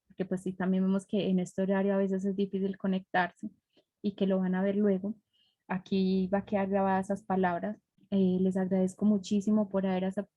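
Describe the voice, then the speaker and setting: A woman casually moderating an online panel, speaking in an animated, conversational way and acting out what others might say. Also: mid-range voice, no echo, highs cut off.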